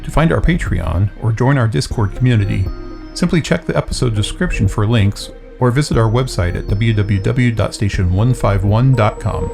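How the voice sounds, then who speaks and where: A man with a low, gravelly voice reads a formal announcement. Clear music plays underneath him. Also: moderate pace. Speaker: show announcer. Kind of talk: scripted announcement.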